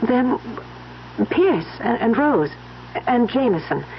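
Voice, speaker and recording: An actress playing a gentle, weary young wife, hesitant and emotional in an old-time radio mystery. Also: female voice, fairly high pitch, big pitch swings, slow pace, full character voice. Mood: hesitant, sombre. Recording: dull, noisy old radio recording.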